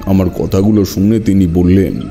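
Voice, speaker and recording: A man's voice: low-pitched, fairly even intonation, normal speed, warm tone, slight background noise. A man narrating a horror story dramatically in the first person.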